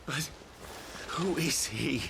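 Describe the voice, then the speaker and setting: An actor playing an earnest, troubled young warrior in a video game cutscene, speaking with some hesitation. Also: male voice, fairly high pitch, slow, vocal fry, a bit bright, slight background noise, full character voice. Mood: disbelieving.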